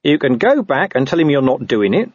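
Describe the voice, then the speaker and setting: Man as a cheeky, chummy, husky-voiced copper. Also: mid-range voice, very animated, brisk, no room sound, muffled recording, voice pushed up. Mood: matter-of-fact.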